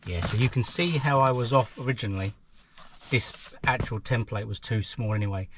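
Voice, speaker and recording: A man's voice: mid-range voice, brisk, background noise. A man, talking casually through a how-to job inside a parked car, chatty and self-deprecating as he thinks aloud. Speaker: vlogger. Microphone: action camera mounted in the car.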